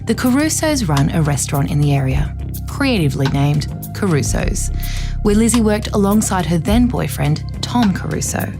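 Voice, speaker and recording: An actress playing a female true-crime podcast host, narrating in a measured, insinuating, dramatic way from a script. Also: fairly low pitch, big pitch swings, music in the background.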